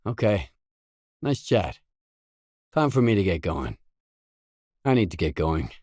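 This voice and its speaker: A weary hardened game loner, low slow voice, terse and dry, wry deadpan. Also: male voice, dry recording, heavily acted. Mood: guarded, deadpan. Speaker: video game voice actor. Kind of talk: isolated game dialogue lines.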